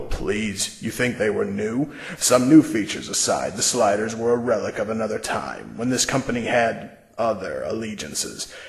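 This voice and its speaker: A man playing a cold, scheming corporate scientist in an audio drama, measured, smug and condescending. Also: lowish voice, vocal fry. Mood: dismissive. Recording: roomy echo, music underneath.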